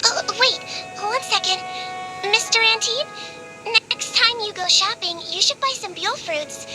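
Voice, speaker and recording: A woman's voice: extremely high pitch, a little slow. A woman voicing a small, perky, childlike game companion who talks in a chirpy, polite, inquisitive way. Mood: helpful. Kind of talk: scripted video game voice acting. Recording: game audio with loud music behind.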